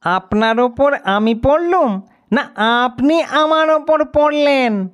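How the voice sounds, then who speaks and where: A man's voice: extremely high pitch, takes it slowly, pitched above the performer's own voice. A man voicing an indignant, petty carriage passenger who retorts and bickers in an audio story.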